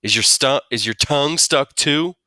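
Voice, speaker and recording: A man's voice: mid-range voice, moderate pace, pushed and bright, dry recording, studio quality, pitched above the performer's own voice, lightly acted. A man voicing an amused father in his thirties who teases wryly in a family story. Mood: teasing. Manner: wry, mocking, suppressing laughter. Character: amused, teasing father aged thirty-five.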